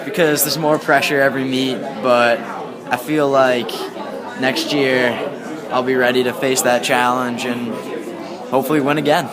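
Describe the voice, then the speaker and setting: Young man interviewed amid a loud crowd. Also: mid-range voice, level tone, normal speed. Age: teenager or young adult. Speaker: high school swimmer. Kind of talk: casual interview answers. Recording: loud crowd din in large indoor space.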